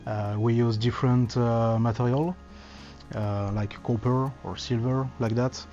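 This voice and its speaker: Halting man searching for words. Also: mid-range voice, fairly even intonation, mellow, lo-fi sound, soft background music. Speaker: audio cable company representative. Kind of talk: trade show interview.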